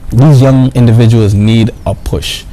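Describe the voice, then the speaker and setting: A man's voice: mid-range voice, steady tone, unhurried, warm tone. A man answering interview questions casually and earnestly, repeating words and often adding "you know what I mean". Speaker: professional basketball player, interview guest. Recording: clearly noisy background.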